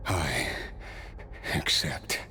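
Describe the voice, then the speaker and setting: A man voicing a defeated ancient villain in a video game, grave, resigned and hushed, speaking in solemn, formal phrases. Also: extremely slow, soft background music, exaggerated voice.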